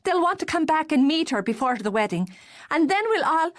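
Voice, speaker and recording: A woman voicing a lively, teasing young woman in an audiobook, bright and quick to flare up. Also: sits high, voice pushed up. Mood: excited. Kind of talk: audiobook character voice.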